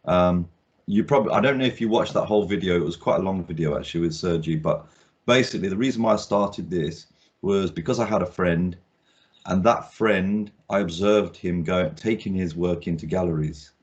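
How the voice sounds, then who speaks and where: A man's voice: sits low, a little fast. A man hosting a casual interview as an art critic, talking in a rambling way with many ums and restarts. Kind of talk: casual video-call interview. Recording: dull lo-fi sound.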